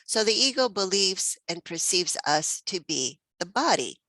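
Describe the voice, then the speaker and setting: A woman's voice: medium pitch, very animated, measured pace, bright, forceful tone, no room sound. A woman from Mexico hosting a spiritual text study group, thinking aloud with many fillers and easy laughs. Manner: reflective, many fillers and restarts, laughs often.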